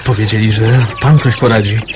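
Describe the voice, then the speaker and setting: An actor playing a blunt, teasing messenger from the underground in a radio play. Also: male voice, fairly low pitch, fairly even intonation, fairly quick, over music, natural voice. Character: blunt, teasing man from the underground, bringing urgent news.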